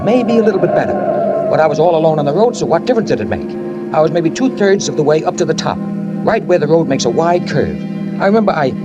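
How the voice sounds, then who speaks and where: A guilt-ridden driver on old radio. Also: male voice, high voice, low-quality recording. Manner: tense, halting first-person narration with stammered repeats. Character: guilt-ridden man who fled a fatal crash. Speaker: narrator and lead character. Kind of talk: 1940s radio drama narration. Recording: old radio recording with music behind.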